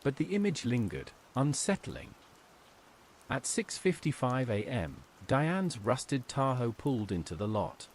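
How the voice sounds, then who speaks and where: A man narrating a scripted horror story in a measured, dramatic way, as a storyteller. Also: mid-range voice, very animated, slight background noise.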